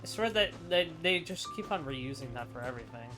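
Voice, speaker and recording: A man's voice: mid-range voice, animated, brisk, low-quality recording. A man giving casual gameplay commentary, opinionated and thinking aloud as he plays. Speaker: gamer doing playthrough commentary. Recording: clear game music behind voice. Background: game music.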